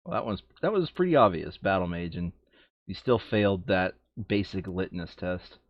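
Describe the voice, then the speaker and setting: A man's voice: low-pitched, expressive, normal speed, no echo, highs cut off. A man chatting casually as he hosts a board game, thinking aloud and often correcting himself as he goes. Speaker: board game player and video host. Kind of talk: casual chat while sorting game cards.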